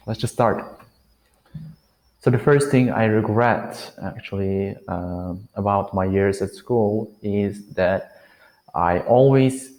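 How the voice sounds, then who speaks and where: A nineteen-year-old man talks straight to the camera without a script, in a voice that sits a little on the low side. He speaks very slowly, pausing often and hunting for words as he glances around to think. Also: a little mellow. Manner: hesitant, many fillers and restarts. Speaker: vlogger. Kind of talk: unscripted talking-head advice.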